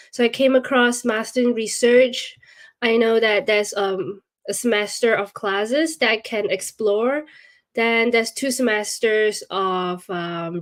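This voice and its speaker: Malaysian woman, medium-pitched voice. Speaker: postgraduate student panelist. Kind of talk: answering questions on an online panel. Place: online video call.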